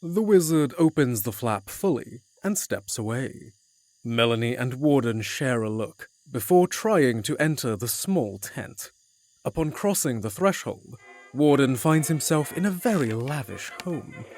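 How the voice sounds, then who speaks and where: A man's voice: mid-range voice, lively intonation, measured pace, a little mellow. A man narrating a scripted fantasy story in the third person.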